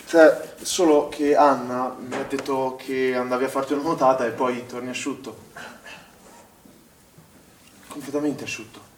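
A man's voice: medium pitch, steady tone, measured pace, long pauses, lightly acted. A man acting the part of a suspicious young swimming instructor who questions a colleague in a stage drama, probing and reproachful. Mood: suspicious, uneasy. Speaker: stage actor. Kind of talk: naturalistic theatre dialogue. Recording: echoey, distant stage sound with noise.

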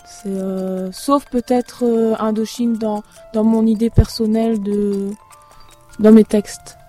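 Woman from Belgium, halting and full of fillers, very dark mellow tone, faint music behind; interview after a concert. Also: a little high, level tone. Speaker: singer and lyricist of a duo. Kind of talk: hesitant interview answers.

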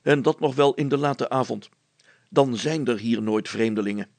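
A man's voice: mid-range voice, normal speed, darkish tone, no echo, natural voice. A man voicing a pompous, self-important village schoolmaster who plays detective in a storybook, declaiming in formal words. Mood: triumphant deduction.

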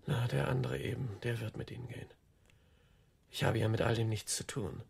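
An actor playing a rambling, self-assured suspect in a radio play, insistent and muddled, with a husky voice. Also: male voice, mid-range voice, fairly even intonation, fairly quick, darkish tone, full character voice. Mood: smug, eerie. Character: rambling, agitated suspect boasting of an imaginary other.

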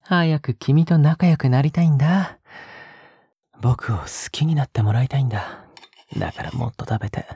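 A male actor playing a possessive young captor in an intimate audio drama, coaxing softly and often slipping into a whisper. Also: medium pitch, moderate pace, no echo, studio quality. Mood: doting, obsessive. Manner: soft, coaxing, often dropping to a breathy whisper. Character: possessive, sickly-sweet young male captor doting on a pet-like girl. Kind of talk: intimate audio drama acting, spoken to the listener.

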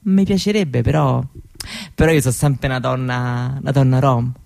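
A woman playing a candid, proud seventeen-year-old Roma girl in a radio monologue, with a Roman Italian accent and halting colloquial talk that often seeks agreement. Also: very deep voice, gravelly, a little mellow. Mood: wistful, defiant. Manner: colloquial, halting, seeking agreement with little tag questions. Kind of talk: conversational theatrical monologue for radio.

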